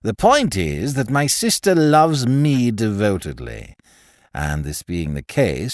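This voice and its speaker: A man voicing a languid, smug young man laid up in bed, who speaks in a quiet, slow, patronizing drawl. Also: medium pitch, dry recording, clean studio sound.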